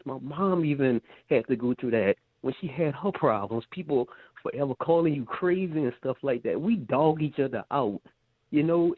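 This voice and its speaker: A man calling into a live talk show, speaking earnestly and at length in a heartfelt, rambling way. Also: a little high. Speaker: caller. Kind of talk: casual phone call. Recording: tinny phone line.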